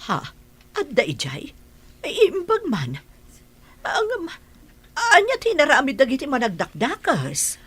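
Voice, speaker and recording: A woman's voice: high voice, wildly swooping pitch, a little slow, pauses often, fairly bright tone, exaggerated voice. A woman playing a concerned, moralizing middle-aged mother in an audio drama. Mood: worried, relieved.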